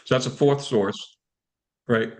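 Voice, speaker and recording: A man moderating a club technical call in a casual, halting way, often repeating words as he goes. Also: mid-range voice, a little mellow. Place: remote conference call. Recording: conference call audio.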